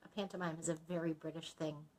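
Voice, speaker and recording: A woman's voice: low-pitched, flat delivery, fast, a little mellow. A woman librarian reading a story aloud expressively, breaking off to explain things in chatty asides.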